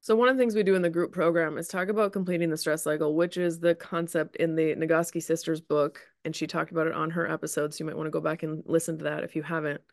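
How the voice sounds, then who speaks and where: A woman's voice: low-pitched, fairly even intonation, quick pace, hardly any pauses, dry recording, studio quality. Reassuring woman explaining on a podcast. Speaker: podcast co-host, Chinese medicine practitioner. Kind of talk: conversational explanation.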